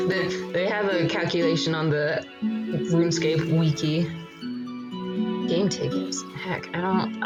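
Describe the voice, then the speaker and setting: Slow-talking woman with a froggy voice. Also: fairly low pitch, poor audio. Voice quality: froggy, croaky morning voice. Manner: laughs often, relaxed and rambling. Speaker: game streamer. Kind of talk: casual live-stream chat while gaming. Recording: loud background music over the voice.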